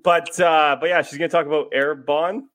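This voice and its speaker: A man's voice: a little high, steady tone, brisk, a bit bright, dry recording, studio quality. A man hosting a podcast in loose, joking banter, often stumbling over his words. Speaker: podcast host.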